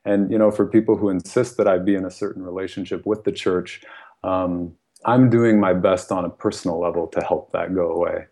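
A man from the United States speaks in a very low, warm and mellow voice. He talks earnestly and thoughtfully, with frequent soft laughs and plenty of "you know". It sounds like a relaxed podcast conversation. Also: brisk. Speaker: guest author.

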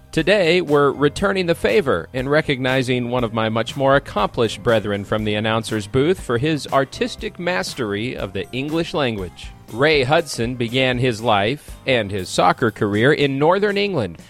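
A man hosting a podcast, giving a polished, wry scripted narration. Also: medium pitch. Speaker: podcast host.

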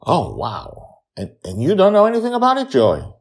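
A man's voice: on the high side, huge pitch swings, normal speed, voice pushed up. A man voicing an easygoing dad in a fantasy story, speaking in an upbeat, casual, bouncy manner. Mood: surprised. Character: easygoing adult dad, leader of dream adventures.

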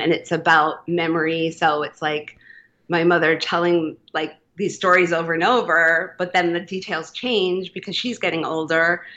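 A woman's voice: low voice, a bit bright, muffled recording. A woman poet reading her work aloud, then chatting casually about writing with frequent fillers and laughs. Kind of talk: reading a poem, then casual interview talk.

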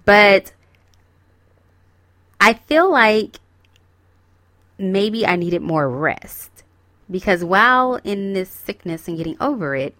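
A woman's voice: mid-range voice, sing-song, slow pace, pauses often, a bit bright, no room sound. A young woman getting over a cold, talking casually and encouragingly straight to her listeners as a host. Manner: encouraging, chatty, addresses listeners directly. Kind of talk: casual self-help talk.

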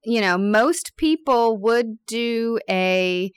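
A woman's voice: on the high side, extremely slow, smooth. A woman in her thirties, a bakery owner, talking openly and candidly as an interview guest with some self-deprecating humor. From born in Peru, raised abroad. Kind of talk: casual interview chat.

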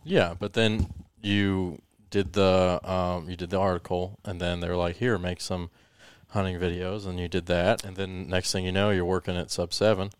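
A man's voice: deep voice, level tone, moderate pace, clear, resonant tone, dry recording. A man co-hosting a podcast, teasing the others with joking banter. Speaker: podcast co-host. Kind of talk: casual podcast chat.

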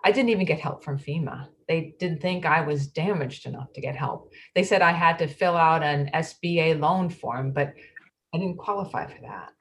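Lady playing a fed-up local, low voice, plain aggrieved talk. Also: normal speed, a little hiss or hum. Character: frustrated adult female neighborhood resident. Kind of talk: readers theatre monologue.

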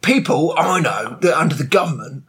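A man's voice: a little high, expressive, fairly bright tone. A man in his thirties joking in a casual chat, playful and absurd. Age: 30s. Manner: playful, absurd, quick jokes.

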